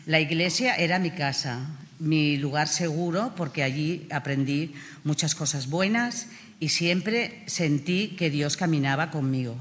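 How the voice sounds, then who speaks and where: A woman's voice: low voice, a bit bright. Catalan woman testifying in an echoing hall. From Catalonia, Spain. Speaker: prison inmate giving testimony. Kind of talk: prepared personal testimony. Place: speaking to an assembly in a prison. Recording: reverberant large hall, public address.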